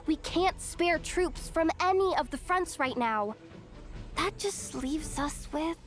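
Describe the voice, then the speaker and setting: A very high, youthful game character, a flustered but eager scout. She stammers nervously and takes her time getting words out, with music playing clearly behind her. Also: expressive, slow. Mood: exasperated. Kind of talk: video game voice acting.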